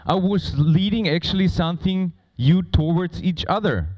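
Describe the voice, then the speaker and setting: A man who is not a native English speaker moderating a conference on stage, talking informally and playfully with a loud, projecting voice. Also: high voice, moderate pace, lo-fi sound. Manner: playful, bantering with audience. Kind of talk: informal live stage presentation. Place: conference stage before a large audience.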